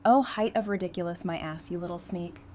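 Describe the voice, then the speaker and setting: A woman's voice: lowish voice, a little fast, mellow, slight background noise, close to an everyday voice. A woman playing a feisty, affectionate, independent bride in an audio drama, speaking in a casual, frank, teasing way. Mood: teasing surprise.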